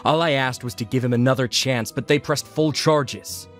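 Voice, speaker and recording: A man's voice: mid-range voice, moderate pace, a bit bright, faint music behind, voice pushed up, lightly acted. A man voicing a defiant, self-righteous arrested culprit in a comic dub. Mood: defiant, aggrieved. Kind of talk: comic dub character acting.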